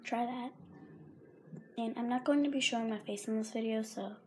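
Teen girl w sore throat, kinda high voice, apologizing a lot, filming on her phone at home. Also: fairly even intonation, normal speed, a little hiss or hum. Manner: rambling, apologetic, often drops to a whisper. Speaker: vlogger. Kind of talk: casual pet vlog. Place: carpeted home room, indoors. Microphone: handheld phone camera.

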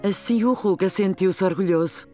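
A woman narrates a children's cartoon story in European Portuguese. Her scripted reading is medium in pitch, with faint music behind. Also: normal speed, warm tone.

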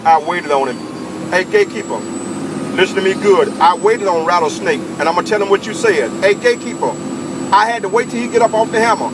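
Southern male CB operator, very high-pitched, animated and teasing, repeats his lines, clear radio noise. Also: brisk. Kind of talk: bantering CB radio chat. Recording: very noisy CB radio transmission.

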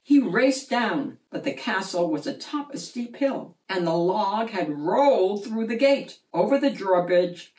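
A woman reading a children's picture book aloud in an expressive, animated storytelling manner. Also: medium pitch. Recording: roomy, distant mic.

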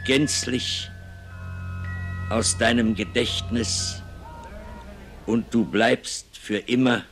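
An actor playing a humble, solemn old scholar in a puppet fairy tale, declaiming with theatrical reverence. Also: male voice, medium pitch, fairly even intonation, extremely slow, a bit bright, faint music behind. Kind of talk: theatrical German puppet-play acting.

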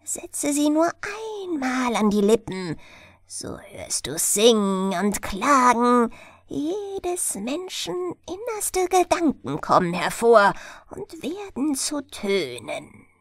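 Listige alte Märchenhexe, stark schwankende Stimme. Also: female voice, a little high, big pitch swings, takes it slowly, dry recording, studio quality, full character voice. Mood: mysterious whispering. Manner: cackling, murmuring, coaxing in whispers. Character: sly, greedy, spiteful old forest witch. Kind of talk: storybook character voice.

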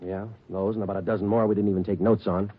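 A man's voice: on the low side, flat delivery, fast, slight background noise, lightly acted. An actor playing a terse male police sergeant narrating a case in a clipped, matter-of-fact way, in a 1940s radio drama. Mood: flat, businesslike. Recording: tinny 1949 radio broadcast with music.

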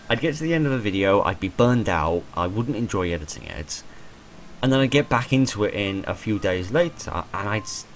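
A man in his twenties with a hoarse voice, talking in a rambling, self-deprecating way about his channel and stumbling over repeated words from a small room at home. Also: lowish voice, slight background noise, low-quality recording. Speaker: channel creator. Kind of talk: rambling personal monologue.

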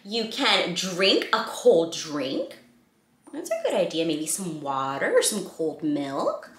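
A woman's voice: fairly low pitch, wildly swooping pitch, slow pace. A young adult woman reads aloud interactively to small children, with exaggerated expression and questions put to the listeners. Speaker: children's read-aloud host. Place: small indoor room at a desk. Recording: roomy indoor echo.

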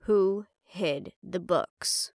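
A woman's voice: fairly low pitch, drawn-out words, creaky, a little mellow, no echo, clean studio sound, full character voice. A woman playing a tough, threatening young supernatural woman in an audio roleplay, blunt and sardonic. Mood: menacing.

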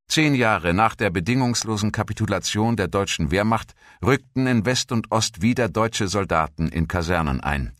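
A man reading scripted documentary narration in a measured, formal manner, like a history audiobook. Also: low voice, fairly bright tone, dry recording, clean studio sound. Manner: measured, formal read delivery.

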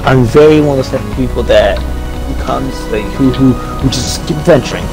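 Male roleplay gamer, medium pitch, halting improvised delivery, clear music underneath. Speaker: player in a gaming roleplay. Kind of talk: improvised in-game roleplay chat. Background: background music.

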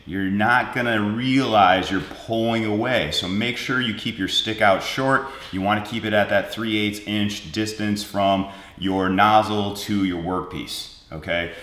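A man's voice: on the low side, fairly bright tone. A man in his forties, a welding instructor giving a practical step-by-step demo in a large indoor workshop. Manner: practical, direct, explains step by step to the viewer. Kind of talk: hands-on teaching demo. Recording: roomy workshop echo.

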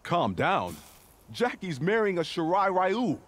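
A man's voice: high voice, very animated, measured pace, noisy background. An actor playing a skeptical, protective soldier father in a video game, blunt and grumbling. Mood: grudging. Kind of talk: video game character dialogue.

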